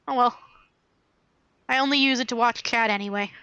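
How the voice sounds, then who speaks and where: A woman chatting casually and haltingly as she hosts a video game livestream. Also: fairly high pitch, fairly even intonation, sharp tone, clean tone, no echo.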